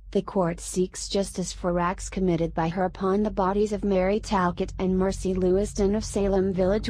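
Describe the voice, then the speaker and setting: A woman acting as a female screen-reader voice in a video game reads old legal text formally and evenly. The tone is very soft and mellow, with faint noise behind it. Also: medium pitch, lightly acted. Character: screen-reader voice reading an old court warrant. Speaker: document reader. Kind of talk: formal read-aloud in a game.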